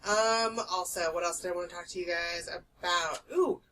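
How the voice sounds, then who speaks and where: A woman co-hosting an art podcast in casual chat, loud and carrying, chatty, swearing freely and laughing often. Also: lowish voice, normal speed, recorded from a distance, slight background noise. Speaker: podcast co-host. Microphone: desk podcast microphone.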